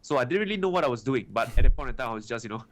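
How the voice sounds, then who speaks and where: A man's voice: mid-range voice, lively intonation, racing. A man in his twenties from Singapore with a Singaporean English accent, answering interview questions about his start in trading in a chatty way, often tagging "right" and "you know". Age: 20s. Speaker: guest trader.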